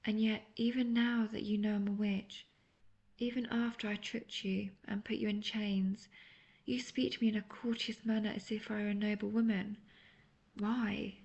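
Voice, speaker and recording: Woman doing a lovestruck yandere witch, creaky n breathy, slow coaxing half whispers, audio drama roleplay. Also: mid-range voice, level tone, darkish tone, lo-fi sound, close to an everyday voice. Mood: teasing, probing. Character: obsessive young female witch, lovestruck and manipulative.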